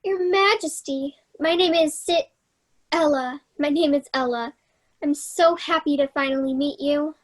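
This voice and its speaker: Romantic young heroine played by a woman, high light voice, eager and dreamy, children's stage play. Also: measured pace. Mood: adoring. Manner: earnest, wistful. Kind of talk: staged reading of children's theater.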